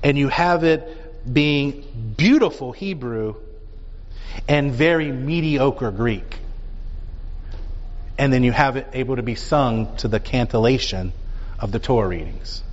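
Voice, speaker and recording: A man teaching a Bible class, talking in an animated way and dotting his points with rhetorical questions. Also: medium pitch, unhurried, pauses often. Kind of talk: lecture with audience questions. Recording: dull sound with long reverb in a hall.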